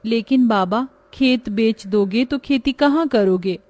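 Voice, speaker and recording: A woman's voice: a little high, a little slow, darkish tone, dry recording, voice pushed up, natural voice. A woman voicing a caring, dutiful young daughter of a poor family in a cartoon story, earnest and concerned.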